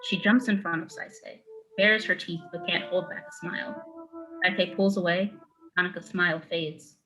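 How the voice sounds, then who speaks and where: A woman's voice: fairly low pitch, steady tone, moderate pace, over music, rough recording. A young woman reading scripted narration and stage directions. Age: young adult.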